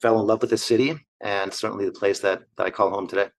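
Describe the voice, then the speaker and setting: Husky-voiced man in his forties, measured. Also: fairly low pitch, fairly even intonation. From Argentina. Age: 40s. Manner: fluent, measured business talk in long structured lists. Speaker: investor guest. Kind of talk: interview answers.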